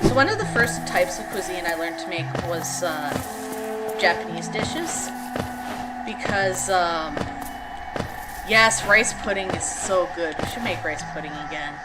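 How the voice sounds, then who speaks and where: Chatty woman streamer over loud music. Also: fairly low pitch, rough recording. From Canada (Alberta). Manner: rambling, many fillers like "like" and "um". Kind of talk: casual chat with stream viewers. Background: loud background music.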